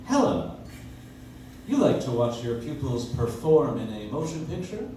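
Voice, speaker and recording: An actor playing a genial 1940s radio announcer with a polished sales patter. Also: male voice, mid-range voice, big pitch swings, moderate pace, mellow, close to an everyday voice. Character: genial radio announcer selling soap flakes. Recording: echoey, distant, noisy audience-member recording.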